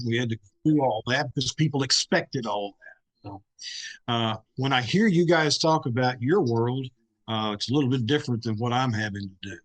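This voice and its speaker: A man's voice: medium pitch, fairly bright tone, poor audio. A man with a mid-range voice speaks as a panelist. His way of talking is plain and conversational as he explains how he works. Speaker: panelist, live radio recreation group member.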